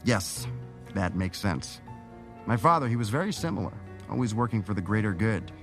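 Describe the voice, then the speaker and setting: A low-voiced councilman, measured and quietly probing. His pitch moves expressively as he schemes beneath a civil surface. Faint music sits behind this radio drama voice. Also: lively intonation. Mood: reflective, sly. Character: composed, probing male city councilman, secretly scheming villain.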